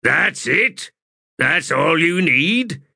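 A man voicing a bossy, irritable army corporal in an audiobook, barking rough soldierly talk. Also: medium pitch, very animated, drawn-out words, sharp tone, no room sound, studio quality, voice pushed up, full character voice. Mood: impatient.

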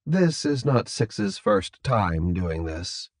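A man voicing a calm, courteous, businesslike captor in an audiobook, speaking in a polite, measured, formal way. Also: fairly low pitch, no echo, clean studio sound, heavily acted. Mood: calm, matter-of-fact. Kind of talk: audiobook character dialogue.

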